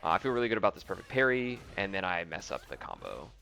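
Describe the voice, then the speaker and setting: A man's voice: sits low, big pitch swings, fast, creaky, sharp tone. A young man casually commenting on replays of his own fighting game match, thinking aloud with lots of ums and likes.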